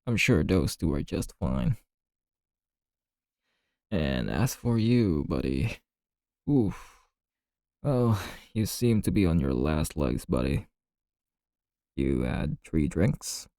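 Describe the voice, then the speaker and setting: A man playing a chatty, easygoing bartender in an ASMR roleplay, telling gossipy stories in a casual, friendly, unhurried way. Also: rumbling low, big pitch swings, vocal fry, dark tone, no room sound, studio quality, heavily acted. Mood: relaxed, friendly.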